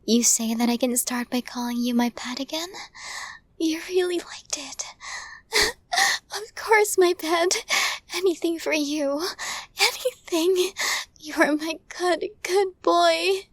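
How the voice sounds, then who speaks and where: A woman playing a possessive, lovestruck yandere crime boss in an intimate ASMR audio roleplay, speaking in a breathy, deliberate, formal way. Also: very high voice, no echo. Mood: tearful joy. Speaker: voice actor in romantic audio roleplay.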